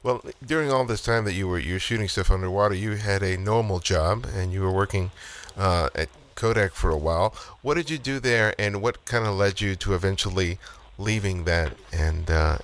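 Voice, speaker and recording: A man's voice: low-pitched, moderate pace, fairly bright tone. A man hosting a podcast who asks long, rambling questions that have several parts. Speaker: podcast host. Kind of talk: interviewing a guest.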